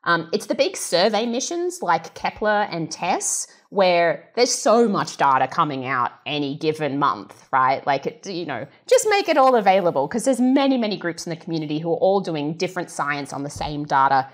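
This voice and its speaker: A woman with a slightly low voice speaks as an expert guest on a panel. She explains things thoroughly and conversationally, posing questions and checking in as she goes. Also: sing-song, moderate pace, few pauses. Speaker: exoplanet scientist, panel guest.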